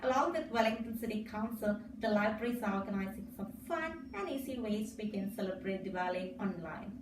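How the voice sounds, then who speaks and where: A woman's voice: medium pitch, lively intonation, a little fast. A woman librarian from Kerala, India, whose first language is Malayalam, speaking in a friendly, hesitant way with fillers. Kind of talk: casual interview. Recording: echoey room, mic far off, noisy.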